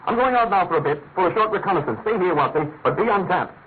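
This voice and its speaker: An actor playing a brilliant, eager English detective in a classic radio drama, theatrical, precise and commanding. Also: male voice, sits high, animated, quick pace, a little hiss or hum. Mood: eager, decisive. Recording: tinny band-limited old radio recording, music behind.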